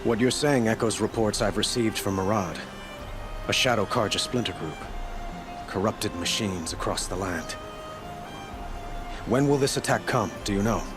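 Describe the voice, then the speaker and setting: A male actor as an earnest young monarch in a game cutscene, low in pitch and stately. He speaks formally and with measured care while music plays clearly behind him. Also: natural voice. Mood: resolute, pleading. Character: earnest, regal young sun king, reformist ruler.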